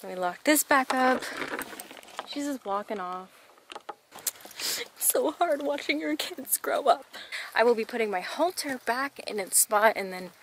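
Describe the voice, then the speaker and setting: A woman's voice: on the high side, lively intonation, unhurried, long pauses, fairly bright tone, a little hiss or hum. A young woman vlogging casually at a horse barn in the evening, bubbly and chatty, talking into a handheld action camera. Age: young adult. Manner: bubbly, chatty, laughs often. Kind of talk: casual vlog chat.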